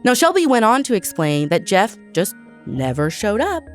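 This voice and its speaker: A woman's voice: medium pitch, big pitch swings, moderate pace, soft background music. An American woman hosting a true crime podcast, reading a scripted narration of a case.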